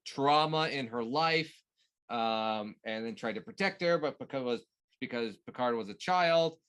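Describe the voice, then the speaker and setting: A man in casual conversation as a co-host, recapping a story in a halting way and searching for words. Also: fairly high pitch, a bit bright, clear, resonant tone. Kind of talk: casual chat recapping a TV show.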